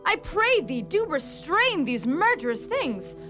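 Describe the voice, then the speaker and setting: A woman's voice: very high-pitched, big pitch swings, measured pace, music in the background, full character voice. A woman playing a young woodland fairy, imploring yet proud, speaking formal rhyming verse in a radio play. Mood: pleading. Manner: formal, poetic diction. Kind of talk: rhyming verse radio drama in mock-Shakespearean English. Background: musical accompaniment.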